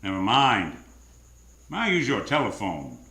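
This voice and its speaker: Casting: male actor as cool hard-boiled gumshoe for old-time radio, dry wit, deadpan delivery, live roomy stage sound. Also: fairly low pitch, a little slow, full character voice. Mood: narrating, wry. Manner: deadpan, sardonic. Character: hard-boiled wisecracking private detective, cool and sardonic. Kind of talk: live 1940s-style radio drama acting and narration. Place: theater stage.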